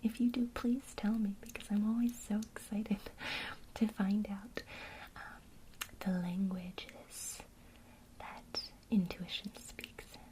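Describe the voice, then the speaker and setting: A woman whispering an ASMR witchcraft lesson, gently encouraging a listener she addresses as her student. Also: mid-range voice, fairly even intonation, low-quality recording. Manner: speaks to the listener as a student, pausing for imagined replies.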